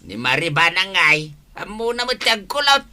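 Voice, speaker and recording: Actor playing a sharp, forceful village husband. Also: male voice, high-pitched, very animated, fairly quick, full character voice. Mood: agitated scolding. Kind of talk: comedy drama dialogue.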